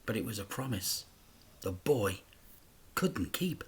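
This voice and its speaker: A man, a teacher, reading a children's story aloud, shifting between hushed whispers and loud acted-out crash sounds. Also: on the low side, big pitch swings, slow pace, slightly rough. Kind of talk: dramatic storybook read-aloud.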